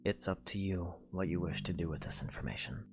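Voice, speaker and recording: An actor playing a quiet, earnest young knight who speaks softly and sincerely. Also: male voice, low-pitched, a little fast, creaky. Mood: tender. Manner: soft-spoken, sincere, plain phrasing. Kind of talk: fan audio drama. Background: music.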